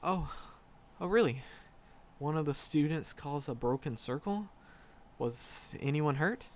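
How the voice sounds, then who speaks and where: A man's voice: a little high, expressive, slight background noise. A man playing a shy, flustered magic professor in a roleplay audio drama, stammering and nervous as he talks to a secret crush. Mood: nervous admiring. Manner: nervous, halting, stammering, rambling. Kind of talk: roleplay audio drama, intimate one-sided dialogue.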